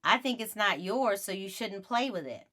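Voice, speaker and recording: A teasing storybook boy, read by a woman. He is skeptical and contrary, taunting with spooky warnings in a mid-pitched, animated voice. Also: moderate pace.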